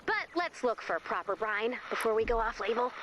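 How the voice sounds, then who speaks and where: An actress voicing an eager, brainy, take-charge girl in an animated game, precise and dry-witted. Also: fairly high pitch, normal speed, lo-fi sound. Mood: dry, practical. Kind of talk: animated video game voice acting. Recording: background ambient noise.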